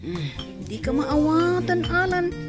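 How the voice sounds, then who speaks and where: A man plays a level-headed buddy in a radio drama, questioning and scolding. Music plays clearly under his voice. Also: very high-pitched, sing-song, takes it slowly, exaggerated voice. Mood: puzzled, scolding. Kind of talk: Ilocano radio drama acting.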